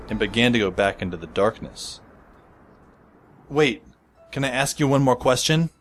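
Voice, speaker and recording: A man plays a teenage boy narrating an audio drama in the first person. He is wry and reflective, at a middling pitch for a male voice. Music runs clearly behind him. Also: lightly acted. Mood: earnest questioning. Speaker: narrator and lead character. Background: music under the voice.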